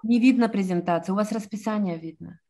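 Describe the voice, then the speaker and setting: A woman with a mid-pitched voice talks in a plain, conversational way on a video call. The recording carries light noise and some clicking. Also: a little fast, warm tone.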